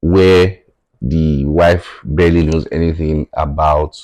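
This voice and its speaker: A Nigerian man whose first language is Yoruba, a podcast guest, talking in a measured, thoughtful way and often checking in with "right?". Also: extremely low pitch, steady tone, a little mellow, no echo. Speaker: podcast guest, tech founder. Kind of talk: reflective conversational interview.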